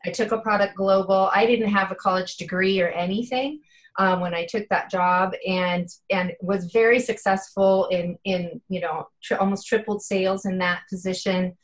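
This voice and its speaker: A woman's voice: medium pitch, steady tone, moderate pace. Earnest woman in her fifties, heartfelt. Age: 50s. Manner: earnest, heartfelt, many "you know" fillers. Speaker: interview guest. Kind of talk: casual interview chat.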